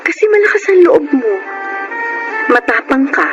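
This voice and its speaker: A woman playing a gentle, grateful young mother in a Filipino radio drama, speaking earnestly with a Filipino accent. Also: high-pitched, big pitch swings, moderate pace, highs cut off. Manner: earnest, heartfelt. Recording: music bed under the voice.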